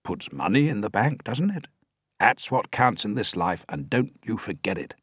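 A man's voice: fairly low pitch, sing-song, moderate pace, fairly bright tone, husky, dry recording, studio quality, pitched above the performer's own voice, heavily acted. A man voicing a grasping, bossy old village grandfather in a storybook, nagging and dismissive.

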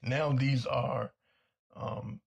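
A man hosting a talk show with a hoarse, nearly gone voice, delivering a blunt and insistent commentary monologue that repeats its points. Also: medium pitch, steady tone, drawn-out words. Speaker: podcast host.

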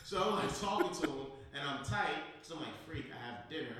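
An American man hosting a casual podcast, chatting and telling stories in slangy, informal talk, his voice slightly hoarse from recovering after losing it. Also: on the high side, expressive, fairly quick, a bit bright. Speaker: podcast host. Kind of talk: casual podcast chat and storytelling. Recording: echoey room, distant mic, faint noise.

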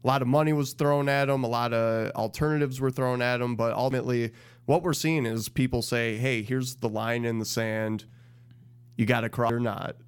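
A man co-hosting a radio show, explaining things in a measured, plain way during casual chat. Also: mid-range voice, fairly even intonation, clean tone. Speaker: radio co-host.

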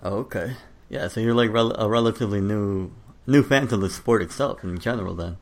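A man's voice: fairly low pitch, a little fast. A man co-hosting a podcast, chatting in a laid-back way with lots of filler words. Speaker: podcast co-host. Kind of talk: casual podcast chat.

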